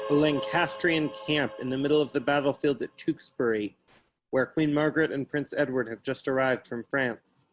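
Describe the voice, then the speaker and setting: A man's voice: mid-range voice, fairly even intonation, normal speed, low-quality recording. A man reading stage directions as scripted narration. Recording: loud music behind the voice. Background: loud music.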